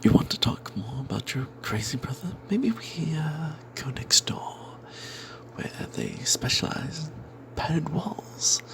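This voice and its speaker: Breathy whispering man telling a fantasy tale. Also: a little high, animated, takes it slowly. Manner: hushed and secretive, as if not to be overheard. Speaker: storyteller narrating a tabletop game tale. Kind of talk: whispered ASMR first-person fantasy storytelling.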